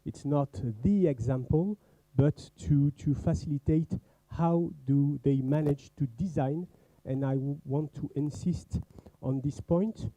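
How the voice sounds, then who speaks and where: Male moderator at a forum, slow and mellow, somewhat high voice, faint background noise. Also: rough recording. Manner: deliberate, repeats words while explaining. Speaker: session moderator. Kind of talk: moderating a youth workshop.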